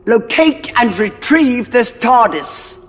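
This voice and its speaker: A ruthless cardinal. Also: female voice, a little high, very animated, slow pace, husky, long reverb tail, soft background music, exaggerated voice. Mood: cold. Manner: cold and commanding. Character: ruthless, manipulative woman cardinal.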